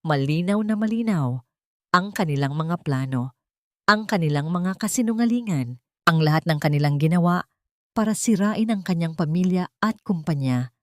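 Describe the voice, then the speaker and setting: Female narrator for dramatic audio fiction, slightly low register, dry studio-like sound with little room. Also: moderate pace, no echo. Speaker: storyteller. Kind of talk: dramatic scripted story narration.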